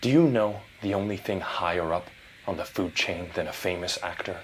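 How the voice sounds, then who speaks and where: A man's voice: low-pitched, moderate pace, slight background noise, lightly acted, poor audio. An actor playing a smug, delusional male movie star in an audio drama, theatrical and seductive, slipping between whispers and bursts. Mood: grandiose, intense.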